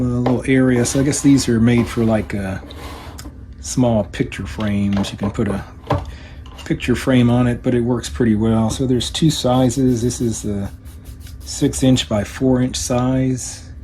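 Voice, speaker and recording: A man with a fairly low, mellow and relaxed voice talks through a casual hands-on product demo. He is low-key and a little hesitant, speaking off the cuff. There is light background noise on the recording. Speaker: hobbyist product reviewer.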